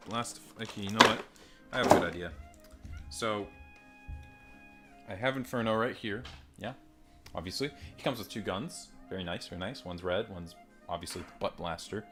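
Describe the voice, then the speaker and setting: A man's voice: normal speed, long pauses, over music. A man reviewing toys in a casual, rambling, self-deprecating way, swearing offhand. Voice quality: deep voice. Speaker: toy reviewer. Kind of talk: casual unboxing chat. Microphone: desk microphone and phone mic.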